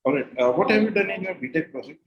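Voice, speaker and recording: A man's voice: fairly high pitch, animated, quick pace, dull audio. A man with an Indian accent working as a mock interviewer, instructive, repeating and rephrasing his questions and advice. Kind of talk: interview questioning and feedback, switching between two languages.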